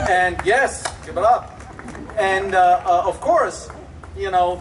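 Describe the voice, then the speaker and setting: A high-voiced man with an Austrian German accent gives an emphatic, enthusiastic dedication speech outdoors. He is picked up from a distance, with faint background noise under his voice. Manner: enthusiastic, emphatic, with frequent fillers. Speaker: resort executive giving a dedication speech. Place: outdoors at a public event.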